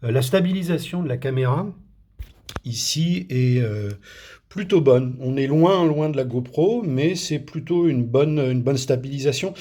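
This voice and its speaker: A man with a medium-pitched voice talks through a product review. His delivery is casual and unscripted. He speaks hesitantly, frequently searching for the right word as he explains things. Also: a little slow, warm tone.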